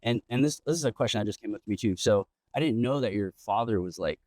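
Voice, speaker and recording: A man hosting a casual podcast interview, relaxed and rambling, with lots of fillers and restarts. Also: on the low side, fast talker, dry recording. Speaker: podcast host. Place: outdoors in an old town district.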